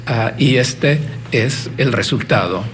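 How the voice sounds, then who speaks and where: A man's voice: mid-range voice, slow pace, slight background noise. A man explaining as a guest consultant on a television interview, with frequent fillers. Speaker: guest, Bible Society consultant.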